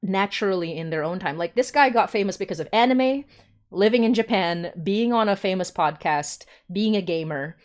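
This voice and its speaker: A woman's voice: mid-range voice, expressive, moderate pace. A woman telling an anecdote in an enthusiastic, gushing way in casual chat.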